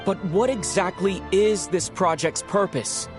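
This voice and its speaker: An actor playing a polite, forthright young traveler in a video game, speaking in a measured, direct way. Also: male voice, high voice, music in the background. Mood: polite, probing. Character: polite, forthright young male traveler questioning those in power.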